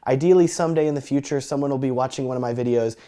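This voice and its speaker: A young man with a slightly raspy, cold-roughened voice, teaching color grading in a chatty, joking tutorial. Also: medium pitch, rapid-fire, dark tone. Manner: joking, chatty, self-deprecating. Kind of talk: casual tutorial talk.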